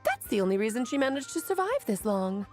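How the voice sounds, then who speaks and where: A woman's voice: fairly high pitch, wildly swooping pitch, quick pace, a little mellow, faint music behind. A woman voicing a smug, pedantic, irreverent know-it-all in a video game, lecturing in a theatrical, flippant way. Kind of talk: scripted video game exposition.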